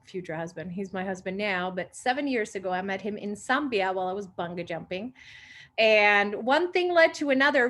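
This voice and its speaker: Breathy young Swedish-born woman coach. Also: fairly high pitch, a bit bright. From Sweden. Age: 20s. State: short of breath, pregnant. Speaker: confidence coach hosting a video call. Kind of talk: casual group video call talk. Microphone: video call.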